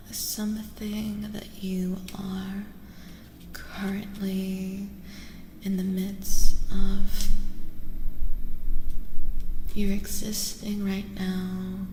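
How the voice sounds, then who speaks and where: A soft-spoken, breathy woman who often drops into a whisper as she gives a gently reassuring ASMR tarot reading, repeating phrases slowly. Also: mid-range voice, slight background noise. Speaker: ASMR host and tarot reader. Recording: echoing long reverb, music underneath.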